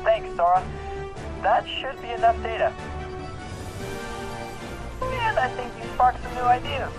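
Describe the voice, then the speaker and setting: An actor playing a bright, techy teenage boy inventor who sounds youthful and focused. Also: very high voice, wildly swooping pitch, a little slow, over music, exaggerated voice. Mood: pleased.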